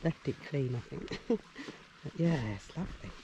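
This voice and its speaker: A man's voice: a little high, sing-song, moderate pace, muffled recording, background noise. A man talking casually to camera while out on a mountain hike, rambling along with plenty of fillers and little chuckles. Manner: chatty and rambling, many fillers, chuckles to himself. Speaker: vlogger, hiker. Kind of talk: casual vlog talk to camera. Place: outdoors on a mountain path.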